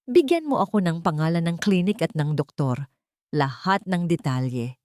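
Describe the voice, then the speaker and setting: A woman's voice: lowish voice, animated, moderate pace, a little mellow, no echo, studio quality, natural voice. A woman voicing a firm, serious, determined lawyer in an audio story. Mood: firm, interested. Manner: serious, emphatic.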